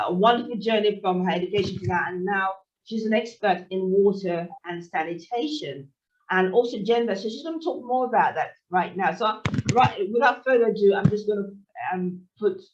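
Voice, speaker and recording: A woman hosting a podcast, introducing and encouraging her guest with occasional fillers. She sits away from the mic, and the sound is dull and lo-fi. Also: mid-range voice. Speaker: podcast host. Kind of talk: podcast interview. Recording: distant, dull lo-fi audio.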